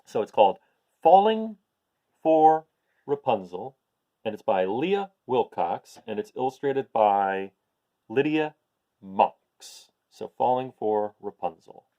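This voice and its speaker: A man reading a rhyming picture book aloud to young listeners in an expressive way. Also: on the low side, muffled recording. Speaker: children's story reader.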